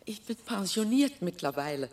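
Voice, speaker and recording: A woman's voice: medium pitch, big pitch swings, normal speed, a little mellow, close to an everyday voice. A woman playing a nervous, guarded older woman, retired, with a questioning manner in a radio play. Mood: flustered. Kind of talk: German radio play acting.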